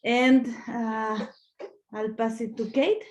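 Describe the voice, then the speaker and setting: A woman's voice: a little high, steady tone, slow pace, darkish tone. A woman from Chile, introducing herself on a video call in an upbeat, easy-going way. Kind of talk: casual video-call chat.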